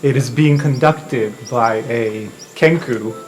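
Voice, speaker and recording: A man's voice: medium pitch, takes it slowly, faint music behind. A man hosting as game master, narrating an improvised tabletop game in an informal, joking way.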